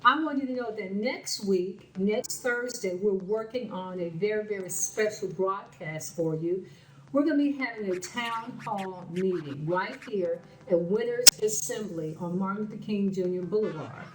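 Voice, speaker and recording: Earnest, slightly raspy woman host. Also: medium pitch, expressive, moderate pace. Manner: earnest, repeats phrases for emphasis. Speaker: show host. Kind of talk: spoken commentary to camera. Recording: roomy sound from a distant mic with faint music.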